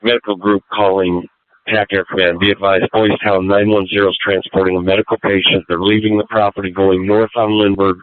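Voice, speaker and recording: A man's voice: deep voice, level tone, brisk, a little hiss or hum. A man working as a medical group operator, giving a formal advisory over a narrowband two-way radio. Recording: muffled narrowband radio transmission.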